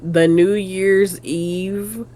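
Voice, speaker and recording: Southern female co-host, twangy, animated storytelling, quick to agree, mid-pitched voice. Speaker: podcast co-host. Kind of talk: casual review chat.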